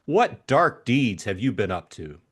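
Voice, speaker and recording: Man playing a lively, lighthearted young gentleman. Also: mid-range voice, unhurried, breathy edge. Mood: curious. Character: Jimmy, lighthearted young gentleman, teasing and incredulous. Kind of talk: audiobook character acting.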